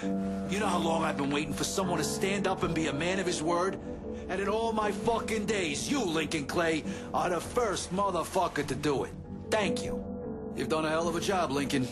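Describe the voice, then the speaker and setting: Mobster male actor, fairly high voice, wry and salty game drama, loud music behind. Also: moderate pace, lightly acted. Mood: grateful. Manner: wry, plain-spoken. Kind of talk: dramatic game cutscene acting.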